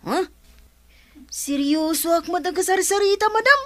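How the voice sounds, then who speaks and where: A woman's voice: very high voice, normal speed, no echo. A woman in a radio drama playing a chatty, gossipy male helper who teases and laughs often. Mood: teasing.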